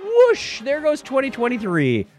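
A man with a high-pitched voice that swings up and down animatedly. He hosts a podcast with playful, theatrical banter, moving between casual chat and reading segments. Faint music plays behind him. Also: huge pitch swings, a little slow. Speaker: podcast co-host.

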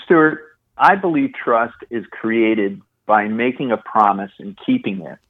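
Formal male executive on a thin phone line. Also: fairly low pitch. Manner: formal, measured. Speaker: chief executive. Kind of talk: prepared corporate remarks, read out. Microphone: phone call.

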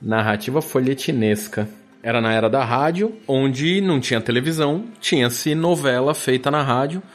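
A man's voice: medium pitch, normal speed. Informal Brazilian man, playful podcast guest. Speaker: podcast guest, audio drama producer. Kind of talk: casual conversational explaining.

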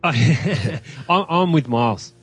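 A man's voice: medium pitch, expressive, slow pace, a bit bright, soft background music. A man with an Australian accent, talking casually as a guest podcaster in a film review discussion.

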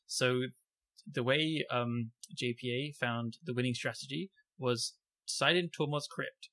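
Bright-voiced man in casual chat. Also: mid-range voice, a little slow. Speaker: podcast co-host.

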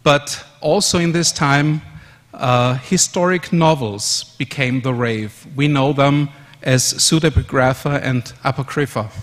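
A man in his fifties from Austria whose first language is German, giving a measured conference presentation in English with fillers and self-corrections. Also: medium pitch, a bit bright. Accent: German-speaking Austrian. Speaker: conference speaker. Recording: long hall reverb.

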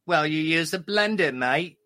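Man doing an English comic bloke, English accent, cheeky, matey, forceful bright tone, slightly high. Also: expressive, a little slow. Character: cheeky English bloke telling pub jokes.

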